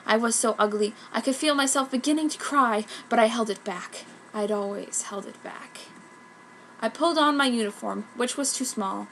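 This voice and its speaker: A woman's voice: on the high side, a little hiss or hum, lightly acted, rough recording. A woman voicing a self-pitying, dramatic goth schoolgirl telling her own story in a fanfic reading. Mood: sad, self-pitying. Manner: melodramatic. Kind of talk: mock-earnest first-person fanfic narration.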